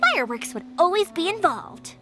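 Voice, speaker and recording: A woman's voice: very high voice, sing-song, normal speed. An actress playing a cheerful, bold young woman in a video game, breezy and chatty in delivery. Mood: storytelling. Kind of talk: English video game voice acting. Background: background music.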